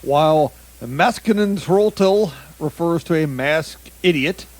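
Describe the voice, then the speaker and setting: A somewhat high-voiced man reads bizarre headlines to his co-host in loose, joking banter. He stumbles over foreign words and breaks into laughter mid-sentence. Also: a little slow, a little mellow. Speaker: comedy podcast co-host. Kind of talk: casual comedic news banter.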